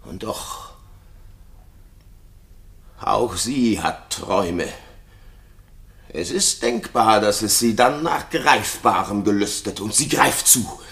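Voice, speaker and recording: An actor playing a jealous, tormented king in a classical radio play, speaking in a halting, theatrical, brooding way. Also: on the low side, creaky, fairly bright tone, full character voice.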